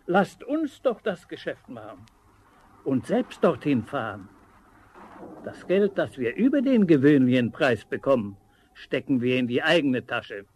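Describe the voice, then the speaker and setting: An actor playing a knowing teller of an ominous local legend in a storybook radio play, dramatic and emphatic. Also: male voice, medium pitch, sing-song, a little slow, darkish tone, slight background noise. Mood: scheming, persuasive.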